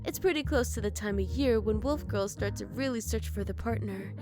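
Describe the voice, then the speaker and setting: A woman's voice: mid-range voice, brisk, lightly acted. A woman playing a spoiled, bratty younger wolf-girl sister in an ASMR roleplay, competitive and eager, with a pouty, taunting delivery. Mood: matter-of-fact, needling. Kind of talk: ASMR roleplay audio drama. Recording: clear music behind the voice.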